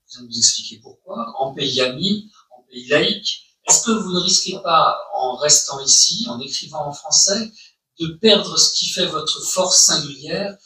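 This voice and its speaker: A man's voice: on the high side, pushed and bright, roomy sound, far from the mic, poor audio. A man moderating a public conversation, asking long formal questions with hesitant fillers. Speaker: interviewer. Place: university lecture hall.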